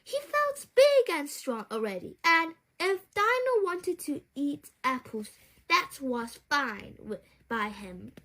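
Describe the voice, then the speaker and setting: A woman reading a children's bedtime story aloud, haltingly, with small stumbles and repeated words. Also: high voice, sing-song, fairly bright tone.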